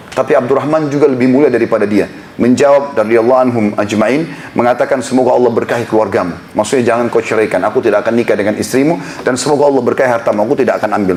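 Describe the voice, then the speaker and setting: A man's voice: medium pitch, darkish tone. A man preaching an impassioned sermon to a congregation in a prayer hall, building to emphatic appeals. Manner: impassioned, rising to emphatic exhortation. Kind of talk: Islamic sermon lecture.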